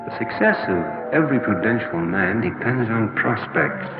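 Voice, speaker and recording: A downhearted 1940s salesman, lowish voice, swingy delivery, boxy old film audio. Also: expressive, normal speed, dull audio. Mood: thoughtful, reciting. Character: discouraged insurance salesman named Jim, pondering his prospects. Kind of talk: 1940s training film acting. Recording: boxy echoey 1940s film soundtrack, loud music.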